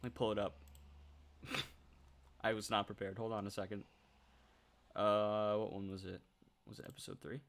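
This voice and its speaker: A nineteen-year-old man chatting casually on a livestream, reading out viewer comments, excitable and swearing freely. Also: fairly low pitch, normal speed, pauses often.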